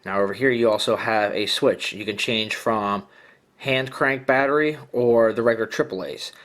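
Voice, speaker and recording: A man's voice: fairly low pitch, normal speed, fairly bright tone. A man giving a casual, hands-on product demonstration, explaining things in a plainspoken way. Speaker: product reviewer.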